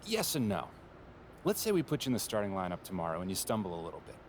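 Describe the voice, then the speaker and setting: A polished marketing executive who lives by data and speaks in tidy corporate phrasing. His voice sits on the lower side. He is a figure from a game cutscene. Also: huge pitch swings, fast talker, a little hiss or hum. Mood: businesslike. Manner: smooth, data-driven corporate talk. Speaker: head of brand and marketing. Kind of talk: video game cutscene dialogue.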